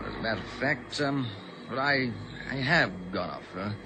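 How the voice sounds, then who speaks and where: A man's voice: mid-range voice, sing-song, moderate pace. An actor playing a scornful, domineering young man in a radio drama, with a condescending, glib way of talking. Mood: offhand, irritable. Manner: condescending, sarcastic, chummy old-fashioned phrasing. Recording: noisy old radio drama recording.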